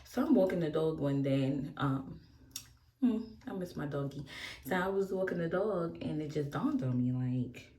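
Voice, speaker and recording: A woman's voice: deep voice, animated, moderate pace, dark tone. A woman in her forties chatting candidly while doing her makeup, rambling and laughing often. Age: 40s. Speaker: beauty vlogger. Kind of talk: casual get-ready-with-me chat. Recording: echoey room.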